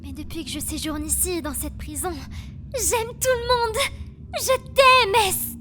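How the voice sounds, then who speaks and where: Anime dub role, woman in her twenties, acted by a woman: lovesick, airy, fragile, high and bright. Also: sing-song, measured pace, faint music behind, exaggerated voice. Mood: wounded, lovesick. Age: 20s. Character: gentle, lovesick 22-year-old woman prisoner, fragile. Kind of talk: French anime fan dub monologue.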